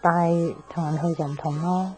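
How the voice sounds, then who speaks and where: A woman's voice: lowish voice, steady tone, normal speed, mellow, lightly acted. An actress playing a restless young woman in a radio drama, talking in an insistent, explaining way. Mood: wistful, insistent. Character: restless young woman friend craving a new life. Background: faint background music.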